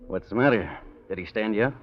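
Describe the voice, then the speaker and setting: A man's voice: fairly low pitch, expressive, fast talker, natural voice. An actor playing an easygoing, familiar man flirting at a bar, with an American accent, in 1940s radio drama style. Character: smooth, persistent male flirt at a cocktail bar. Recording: dull vintage 1940s radio recording.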